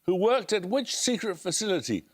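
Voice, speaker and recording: A man hosting a television quiz show, reading introductions and questions in a measured, precise way. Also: sits high, big pitch swings. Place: television studio.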